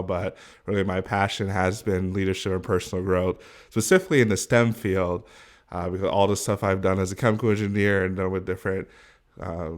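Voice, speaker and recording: A man in his twenties, a guest on a casual podcast, who speaks in a matter-of-fact, steady way. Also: sits low. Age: 20s.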